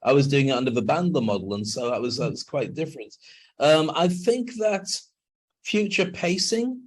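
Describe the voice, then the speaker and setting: An older man speaking as a guest on a video call interview, emphatic and animated when making a point. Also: a little high, normal speed. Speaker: guest, NLP trainer and speaker.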